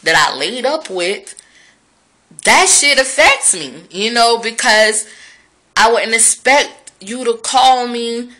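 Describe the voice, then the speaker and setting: A woman's voice: fairly high pitch, animated, slow pace, pushed and bright. A woman, talking frankly and profanely to her viewers in a reflective vlog monologue. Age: 30s.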